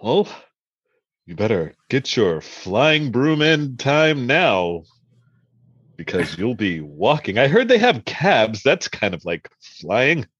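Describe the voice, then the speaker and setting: Man playing a mocking, husky, swooping wizard boss. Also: mid-range voice, very animated, slow, fairly bright tone, no room sound, dull audio, exaggerated voice. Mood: mocking, amused. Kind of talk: improvised comedy sketch.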